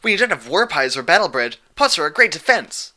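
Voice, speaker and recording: A man's voice: fairly high pitch, big pitch swings, brisk, pushed and bright, voice pushed up, exaggerated voice. A man voicing a bubbly, naive, cheerful female pony with an earnest, perky delivery. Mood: cheerfully defensive.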